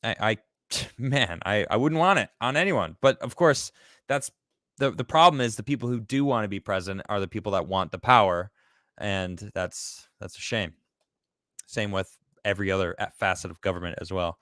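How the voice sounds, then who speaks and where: A man from Chicago hosting a casual live-stream talk show. He laughs often and reads viewer comments aloud in a lively voice. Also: on the low side, moderate pace, dry recording, clean studio sound. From Chicago, United States.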